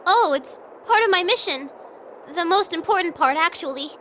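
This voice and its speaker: A woman's voice: extremely high pitch, lively intonation, moderate pace, sharp tone, slight background noise, exaggerated voice. An actress playing a perky, cheeky young girl agent in an anime-style video game, with a breezy, matter-of-fact manner. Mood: breezy, rueful.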